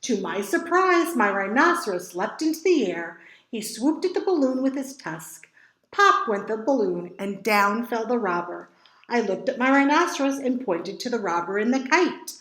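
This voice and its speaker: A woman reading picture books aloud to children as a storytime reader, with dramatic emphasis and drawn-out words. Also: fairly high pitch, very animated, fairly bright tone, roomy sound, rough recording. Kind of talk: expressive picture book read-aloud for children.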